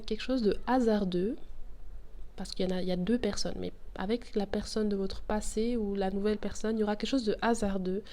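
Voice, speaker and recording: A woman's voice: medium pitch, darkish tone. Chatty woman reading tarot with a cold. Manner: chatty, thinks aloud, laughs at her own fumbles. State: has a cold. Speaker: tarot reader. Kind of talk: casual tarot reading to camera.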